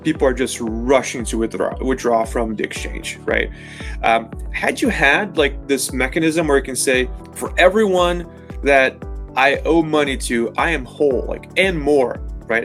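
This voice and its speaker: A man in his thirties from Brazil, a company founder answering interview questions in a measured, explanatory way with frequent fillers. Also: mid-range voice, fairly bright tone, soft background music.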